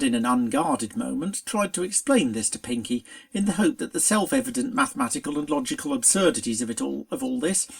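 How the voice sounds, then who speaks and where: A narrator reading a scripted story aloud in an expressive, dramatic storytelling manner. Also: mid-range voice, gravelly. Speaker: fan fiction reader.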